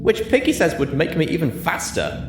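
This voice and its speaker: A man's voice: a little high, huge pitch swings, normal speed, reverberant, noisy background, pitched above the performer's own voice. A man voicing a brash, boastful, tipsy female pegasus pony in an audiobook-style reading, full of giggly bravado. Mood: conspiratorial.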